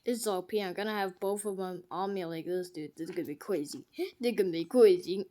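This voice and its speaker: A woman giving jokey gameplay commentary, trash-talking the game characters and swinging from hushed mutters to sudden loud outbursts. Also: on the low side, fairly quick. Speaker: gamer.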